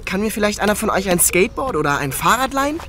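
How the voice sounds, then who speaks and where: An actor playing a worried, determined teenage musician in a children's audio drama, talking in casual youthful slang. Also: male voice, high voice, big pitch swings, quick pace, pushed and bright, full character voice.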